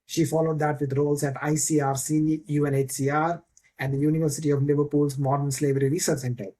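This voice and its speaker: A man's voice: fairly high pitch, flat delivery, quick pace, dark tone. A man hosting a podcast interview, talking through his introduction with many fillers and restarts. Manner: hesitant, frequent fillers and restarts. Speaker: podcast host.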